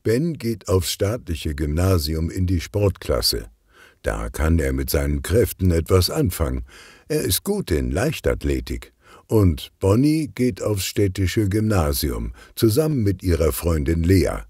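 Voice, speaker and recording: A man's voice: low voice, sing-song, a little slow, warm tone, slightly rough, no room sound, studio quality. A man narrating a children's detective story as a scripted audiobook reader.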